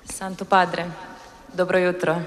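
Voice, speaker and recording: A woman's voice: fairly low pitch, flat delivery, unhurried. Young woman in echoing hall. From Croatia. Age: young adult. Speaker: youth delegate putting a question to the pope. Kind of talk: formal address at a gathering. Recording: reverberant hall with audible crowd.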